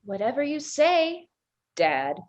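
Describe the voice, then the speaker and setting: A woman's voice: high-pitched, big pitch swings, takes it slowly, a little mellow, muffled recording, exaggerated voice. A woman playing a sullen, sarcastic younger sister, snide and defiant, in a play reading. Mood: scornful. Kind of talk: dramatized play script reading.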